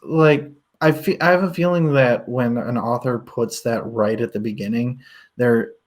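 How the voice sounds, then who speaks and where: A man's voice: mid-range voice, steady tone, normal speed. A man co-hosting a casual book talk show, rambling and hesitant, often laughing. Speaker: book podcast co-host.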